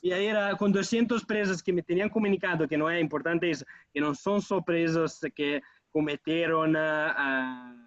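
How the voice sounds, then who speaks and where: Italian man, halting mixed-language speech. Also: a little high, fairly bright tone, no echo, muffled recording. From Italy. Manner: halting mix of Portuguese and Spanish, many restarts. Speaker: interview guest, researcher. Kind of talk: casual interview over a call.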